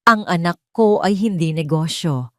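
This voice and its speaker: A woman's voice: lowish voice, normal speed, a little mellow, no room sound, lightly acted. A woman narrator plays a wounded young mother in a romantic audio drama. She sounds weary and bitter, holding others off with pained defiance. The recording is dry and close. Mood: defiant. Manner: pained, guarded.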